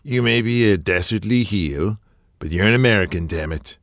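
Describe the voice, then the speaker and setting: A man's voice: fairly low pitch, moderate pace, no room sound, studio quality, lightly acted. A man playing a domineering, profane wrestling promoter in an audio drama, blustering and swearing. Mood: scheming.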